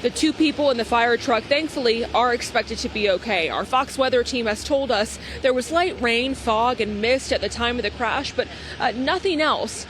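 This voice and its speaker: A woman's voice: fairly high pitch, moderate pace, a bit bright. A woman news correspondent delivering a live report from outdoors in an urban setting. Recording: outdoor urban ambience, traffic noise.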